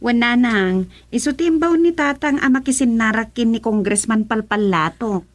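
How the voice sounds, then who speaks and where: A woman's voice: a little high, moderate pace, no echo, lightly acted. A woman with an Ilocano accent playing an outspoken grown daughter in a radio comedy-drama, emphatic and colloquial. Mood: knowing. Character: outspoken grown daughter talking with her mother.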